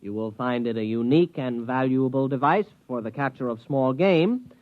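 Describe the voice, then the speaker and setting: A man's voice: mid-range voice, normal speed, no echo, natural voice. An actor playing an eager, good-natured American outdoorsman in a 1950s radio drama, talking in a coaxing, folksy way. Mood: puzzled, excited. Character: eager, good-natured outdoorsman, friendly but easily swayed. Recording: muffled 1950s radio recording.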